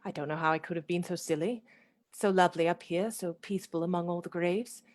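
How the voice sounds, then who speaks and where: A woman's voice: lowish voice, a little fast, a little mellow, natural voice. A woman acting a dreamy young Victorian lady in a radio play, airy and wistful as if under a spell. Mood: dreamy, distracted.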